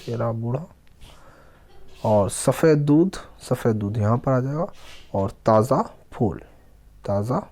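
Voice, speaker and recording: A man teaching a children's language lesson, reading aloud and explaining, deliberately pausing and repeating words and phrases. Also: medium pitch, darkish tone.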